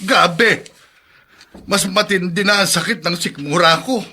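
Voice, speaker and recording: A man's voice: high-pitched, measured pace, sharp tone, a little hiss or hum, exaggerated voice. A man playing an exasperated, blaming husband in a radio drama. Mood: complaining.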